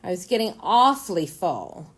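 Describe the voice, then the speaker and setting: Animated, chatty storybook wolf played by a woman. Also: fairly low pitch, pitched below the performer's own voice. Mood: breezy, self-justifying. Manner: dramatic, hesitant stammering, drawn-out words. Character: male storybook wolf, chatty, self-justifying, falsely innocent. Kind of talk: animated children's storybook reading.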